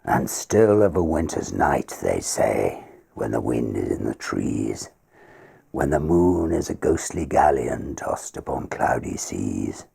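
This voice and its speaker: A man with a gravelly, husky voice reading stories and verse aloud in a theatrical, expressive manner. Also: very deep voice. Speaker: ghost story reader. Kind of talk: dramatic literary reading, verse and prose.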